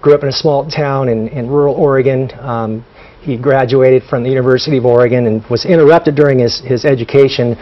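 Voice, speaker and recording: A man who is a guest on a TV interview, speaking haltingly with many fillers and restarts. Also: mid-range voice, steady tone. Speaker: guest, son of honored general. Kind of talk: TV studio interview. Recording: dull broadcast audio.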